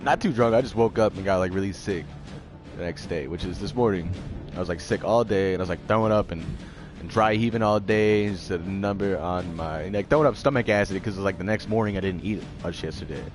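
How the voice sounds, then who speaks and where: A man live-streaming a game with casual, profane commentary, his voice raspy and hoarse from a sore throat. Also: sits low, fairly quick. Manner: loose, profane, rambling. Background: game music.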